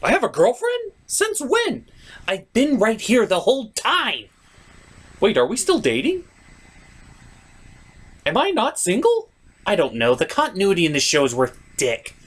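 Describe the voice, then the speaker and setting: A man's voice: sits high, huge pitch swings, a little slow, pauses often, a bit bright. A man hosting a scripted comedic review with skits, with a theatrical, exasperated delivery. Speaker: comic reviewer and host.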